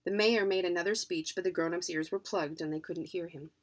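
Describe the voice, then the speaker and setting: An adult woman narrating a children's storybook in an expressive reading style. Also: on the low side, a little fast. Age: adult, parent. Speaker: children's book reader.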